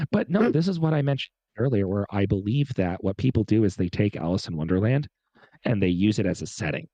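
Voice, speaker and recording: A man co-hosting a casual chat, talking excitedly and emphatically, repeating himself, a bit congested from a cold. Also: on the low side, fairly quick, dry recording, rough recording. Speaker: podcast co-host. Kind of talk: animated casual chat about pop culture.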